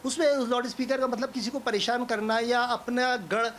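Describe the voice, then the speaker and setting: An interviewed cleric explains step by step at a medium pitch, repeating phrases for emphasis. There is faint noise in the background. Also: steady tone, moderate pace, fairly bright tone. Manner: emphatic repetition, illustrative.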